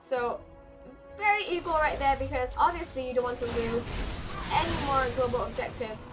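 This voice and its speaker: A hoarse woman calls a competitive video game on her own, her voice sitting a bit high and worn thin. She rattles off the action in quick play-by-play, and clear music plays behind her. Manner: rapid play-by-play that jumps higher in fights. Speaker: esports caster. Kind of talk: solo live game commentary.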